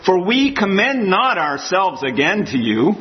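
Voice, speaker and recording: A man with a rather high voice gives an expository sermon. He reads scripture passages aloud and then works through them verse by verse. The recording sounds muffled and lo-fi. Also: very animated, measured pace, slight background noise. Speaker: preacher.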